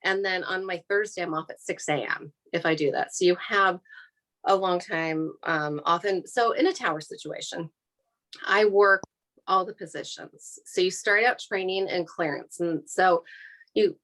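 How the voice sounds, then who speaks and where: A woman's voice: lowish voice, moderate pace, a bit bright, poor audio. A woman with an American accent giving an informal, explanatory career talk as an air traffic controller, in a practical, plain-spoken, conversational way.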